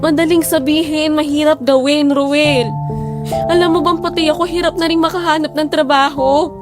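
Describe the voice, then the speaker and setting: A woman plays a worn-down young family's mother with a high voice. She speaks with emotion, pleading and reproaching, in a radio-style drama. Soft music plays underneath. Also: steady tone, normal speed, close to an everyday voice. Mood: frustrated, desperate. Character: weary, frustrated wife and mother in hard times. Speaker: voice actor. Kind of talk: Filipino audio drama acting.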